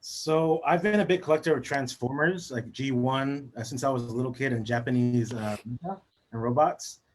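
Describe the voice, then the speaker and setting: A man's voice: medium pitch, moderate pace. A man from the Bay Area in California chatting easygoingly as a guest on a talk show. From San Francisco Bay Area, California. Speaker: guest, mural painter and collector. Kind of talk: casual interview chat.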